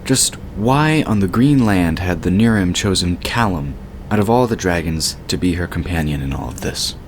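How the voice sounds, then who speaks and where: Deep male narrator over noisy ambience. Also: lively intonation, moderate pace. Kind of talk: scripted fantasy story narration. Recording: clear background noise and ambience.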